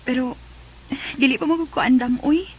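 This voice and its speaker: A woman's voice: sits high, normal speed, darkish tone, slight background noise, natural voice, poor audio. A woman with a Cebuano Filipino accent playing a shy, hesitant young woman being courted in a radio drama. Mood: coy, hesitant. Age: young adult.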